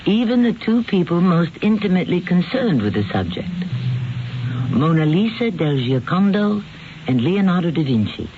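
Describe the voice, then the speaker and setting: A male radio drama host with a high-pitched voice delivers a scripted introduction. His phrasing is portentous and deliberate. The old recording is tinny and noisy. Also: big pitch swings.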